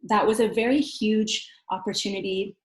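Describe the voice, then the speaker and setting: A woman giving a personal account as a panel guest, earnest and reflective, often halting to rephrase herself. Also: medium pitch, fairly even intonation. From Southern California, United States. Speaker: panel guest, community coordinator. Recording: roomy, echoey sound.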